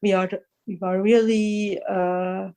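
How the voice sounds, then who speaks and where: Casting: unhurried woman guest, mellow, soft tone, thoughtful and halting. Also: medium pitch, level tone. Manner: thoughtful, halting, laughs often. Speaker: magazine editor guest. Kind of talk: casual interview chat.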